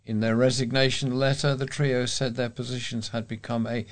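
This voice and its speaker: A man's voice: mid-range voice, flat delivery, normal speed. A man reading local news aloud as a volunteer reader for a talking newspaper.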